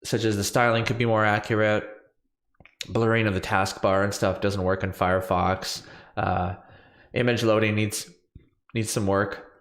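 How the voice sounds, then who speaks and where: A man in his thirties with a lowish voice talks through his coding project, stuffy from allergies and full of ums and restarts. He speaks into a big condenser mic in a small home room with a roomy echo. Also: flat delivery, moderate pace, fairly bright tone. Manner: rambling, filler-heavy, self-correcting. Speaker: coding livestream host. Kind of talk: casual screen-share coding walkthrough. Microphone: large studio condenser mic on boom arm.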